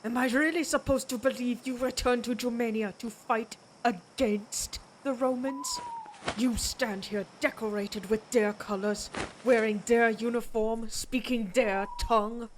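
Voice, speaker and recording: A menacing vampire warrior woman of the ancient tribes, acted by a woman for an audio roleplay, speaks slowly over background noise. She is proud and predatory, toying with her listener in a theatrical, taunting manner. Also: a little high, steady tone, close to an everyday voice, rough recording. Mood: suspicious. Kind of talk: dramatic audio roleplay acting.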